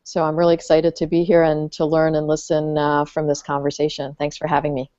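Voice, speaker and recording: Woman guest, deep flat voice, mellow, dry muffled audio. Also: a little fast, no echo. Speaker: panel guest. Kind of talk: online panel conversation. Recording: dry, dull lo-fi sound.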